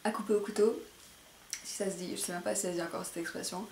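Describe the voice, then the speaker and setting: A woman's voice: medium pitch, fairly even intonation, fast talker, gravelly, a little mellow. A woman presenting a casual, chatty hair tutorial to camera, speaking a little carefully and self-consciously. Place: indoor room at home. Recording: roomy echo, faint background noise.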